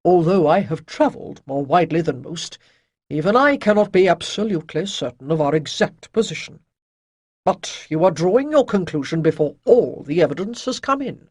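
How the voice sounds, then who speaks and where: A narrator acts out an elderly male priest from a fantasy tale. He teaches calmly and chides gently in a husky voice, pausing for weight and moving expressively up and down in pitch. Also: no room sound, clean studio sound. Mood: calm, firm. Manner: measured, declamatory, pausing for weight. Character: wise old male priest, calm gently chiding teacher.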